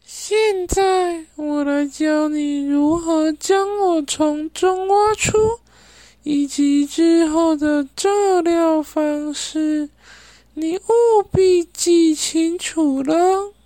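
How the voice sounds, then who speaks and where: Young woman as very high, slow spirit princess. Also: warm tone, no echo, full character voice. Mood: solemn. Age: young adult. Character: solemn reborn-love-seeking princess spirit, instructing. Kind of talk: student radio drama acting.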